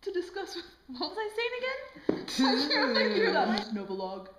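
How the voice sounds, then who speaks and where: A woman's voice: sits high, huge pitch swings, normal speed. A woman interviewer in an amateur health skit, presenting scripted lines with slips and a playful, giggly manner. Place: indoor room, amateur student video. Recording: echoey room with background noise.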